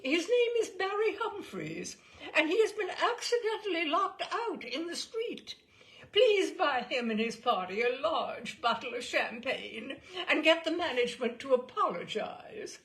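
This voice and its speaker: Grand posh english countess type, done by a lady narrator, fluty and high, gushy, bossy mum, comic story. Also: very animated, measured pace, fairly bright tone, heavily acted. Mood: gushing, insistent. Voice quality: fluting, trilling. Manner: grand, gushing. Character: middle-aged upper-class Englishwoman, imperious fake countess mother.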